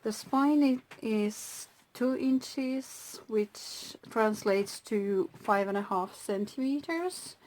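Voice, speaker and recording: A Finnish-native woman hosting her own craft channel, talking through a handmade journal slowly, with pauses and soft whispered asides. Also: medium pitch, a little hiss or hum. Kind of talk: casual crafting flip-through.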